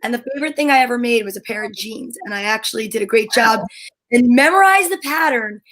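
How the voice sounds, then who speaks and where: A woman's voice: on the high side, lively intonation, fast talker, fairly bright tone, low-quality recording. A middle-aged woman chatting casually as co-host of a show. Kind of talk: casual chat.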